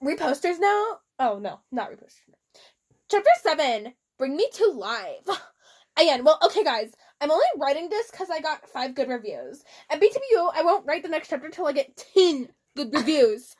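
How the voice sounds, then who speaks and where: A young woman with a high voice reads fan fiction aloud as comedy. Her delivery is casual and giggly, swinging into mock drama and stepping out for offhand asides. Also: lively intonation, normal speed, darkish tone. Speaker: amateur fan fiction reader.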